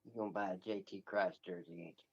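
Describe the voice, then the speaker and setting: A man co-hosting casual sports banter in a teasing, deadpan way. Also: low voice, quick pace, breathy edge, muffled recording. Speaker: co-host.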